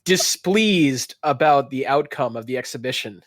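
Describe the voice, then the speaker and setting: A man's voice: mid-range voice, animated, moderate pace, no echo. A man running a tabletop role-playing game, narrating in a theatrical, descriptive storytelling manner. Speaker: game master.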